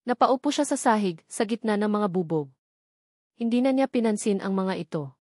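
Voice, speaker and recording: Babaeng tagapagsalaysay, tuyong recording. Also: female voice, medium pitch, lively intonation, normal speed, dark tone, dry recording, clean studio sound. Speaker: storyteller. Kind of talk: scripted dramatic narration.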